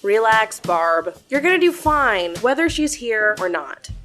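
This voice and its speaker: Woman playing a quiet, lilting, reassuring friend. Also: fairly high pitch, very animated, unhurried, soft background music. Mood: soothing. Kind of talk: radio play acting.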